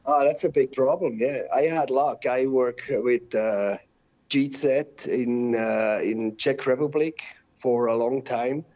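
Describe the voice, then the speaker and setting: A man from Switzerland with a Swiss German accent, a musician answering interview questions in halting, searching English. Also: medium pitch, darkish tone, lo-fi sound. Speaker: musician and label owner, guest.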